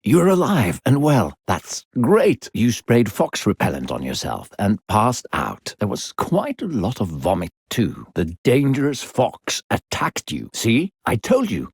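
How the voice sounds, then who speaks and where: A man playing a sinister, coaxing disembodied narrator who guides a child in a video game, gravelly, slow, theatrical and condescending. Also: huge pitch swings, dry recording, clean studio sound, heavily acted. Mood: coaxing, smug. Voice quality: deep, gravelly. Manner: smooth-talking, condescending, theatrical, slow and deliberate.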